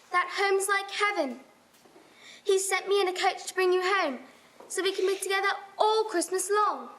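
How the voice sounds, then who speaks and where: Woman doing sweet little girl, really high voice, affectionate and excited, radio play. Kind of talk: live radio-play style stage reading.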